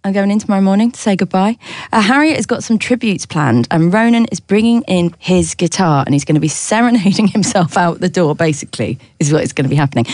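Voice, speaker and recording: A woman's voice: mid-range voice, a little mellow, no room sound. Woman radio host, dry studio sound. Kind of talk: casual on-air banter. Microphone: close studio microphone.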